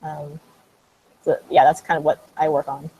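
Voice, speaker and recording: A man's voice: a little high, flat delivery, moderate pace, warm tone, slight background noise, poor audio. A man in his late twenties on a panel, casually explaining his engineering job with lots of fillers. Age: 20s. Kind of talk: panel answers to students.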